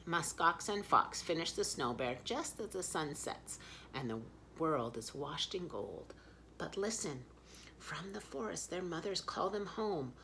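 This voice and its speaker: Perempuan bersuara rendah, pendongeng anak bertempo lambat. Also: female voice, sits low, vocal fry, pushed and bright, a little hiss or hum. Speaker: children's storyteller. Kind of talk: expressive picture-book read-aloud.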